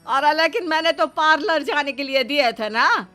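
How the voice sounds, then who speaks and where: A woman's voice: high voice, animated, normal speed, over music. A woman voicing a sceptical, scolding older mother-in-law in a Hindi moral-story cartoon, sarcastic and exasperated. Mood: wry, doubtful. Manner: exasperated, emphatic.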